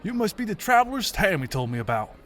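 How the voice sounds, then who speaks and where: A genial small-town mayor, acted by a man for an audio drama. The voice is fairly high and very animated, courteous and folksy, with faint ambience behind it. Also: quick pace, slight background noise. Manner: folksy, polite, conciliatory.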